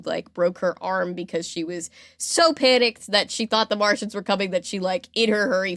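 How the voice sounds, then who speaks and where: A woman's voice: medium pitch, no room sound. Chatty woman telling a story, dry sound. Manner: chatty, animated retelling with acted-out quotes and frequent "like". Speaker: podcast host. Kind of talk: casual storytelling about pop culture history.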